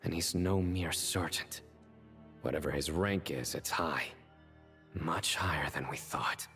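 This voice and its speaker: A man playing a stern, suspicious general in a video game, speaking gravely and tersely. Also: extremely low pitch, unhurried, sharp tone, soft background music, full character voice. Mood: wary. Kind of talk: scripted video game voice acting.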